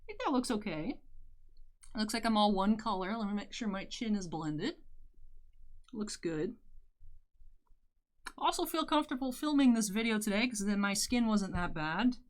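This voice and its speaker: A young woman with a deeper voice, chatting candidly while doing her makeup for a vlog. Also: lively intonation, normal speed, pauses often. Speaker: YouTuber. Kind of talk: casual makeup tutorial chat.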